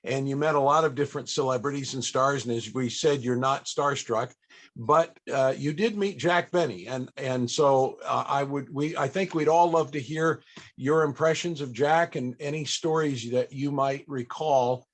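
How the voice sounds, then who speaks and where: A man's voice: medium pitch, moderate pace. A man hosting a casual panel interview over a video call, feeling his way through meandering questions.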